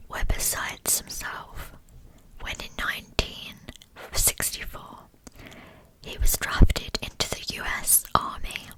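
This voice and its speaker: Slow whispering woman, ASMR narration. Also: bright, forceful tone, rough recording. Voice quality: breathy unvoiced whisper. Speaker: ASMR host narrating true crime.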